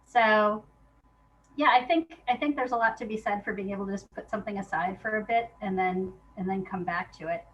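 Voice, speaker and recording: A woman's voice: medium pitch, fairly quick, fairly bright tone, dull audio, slight background noise. A woman guest chatting casually in an interview, thinking aloud in an informal, hesitant way. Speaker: guest playwright.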